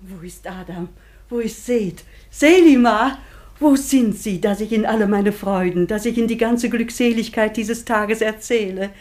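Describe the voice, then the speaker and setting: An actress playing an impassioned, tender and anxious mother in a classic German radio drama, breathy and declamatory. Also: a little high, a little slow, a little mellow, close to an everyday voice. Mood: elated, searching. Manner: emotive, declamatory.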